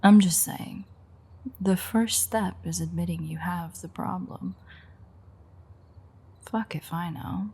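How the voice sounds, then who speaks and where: A woman playing a sardonic, teasing female vampire mechanic in an audio roleplay, with dry sarcasm and a husky, gravelly, breathy delivery. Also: fairly low pitch, takes it slowly, pauses often, mellow, exaggerated voice. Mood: playful sarcastic. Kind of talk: audio roleplay acting, intimate one-sided dialogue.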